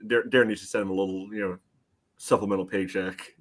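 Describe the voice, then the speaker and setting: A man on a sports podcast, chatting casually in a measured, understated way. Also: low-pitched, fairly even intonation. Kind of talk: casual chat, sports talk.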